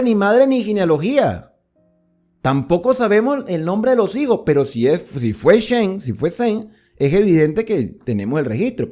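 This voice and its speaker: A man's voice: a little high, dark tone. A man from Venezuela with a Venezuelan accent from near the Colombian border, teaching a Bible study in a conversational way, asking and answering his own questions and repeating points for emphasis. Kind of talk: explanatory Bible study talk.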